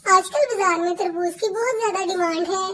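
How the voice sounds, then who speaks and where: A tiny, childlike sparrow daughter-in-law from a storybook cartoon. Her voice sits extremely high. She sounds like a dutiful young bird with a sly streak. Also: a little fast, fairly bright tone, soft background music. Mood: earnest, helpful. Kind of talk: Hindi cartoon voice acting.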